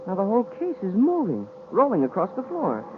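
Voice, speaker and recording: An actor playing an eager, curious young space cadet, boyish and deferential, in a 1950s radio serial. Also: male voice, sits high, huge pitch swings, brisk, noisy background. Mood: curious, excited. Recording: muffled vintage radio recording.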